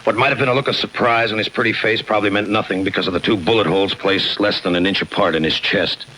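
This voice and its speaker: Low, deadpan, world-weary detective. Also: male voice, quick pace, a little hiss or hum, low-quality recording. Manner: deadpan, sardonic, clipped. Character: cynical, world-weary male city homicide detective. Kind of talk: 1950s radio crime drama, hard-boiled narration. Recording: 1951 radio broadcast with music behind.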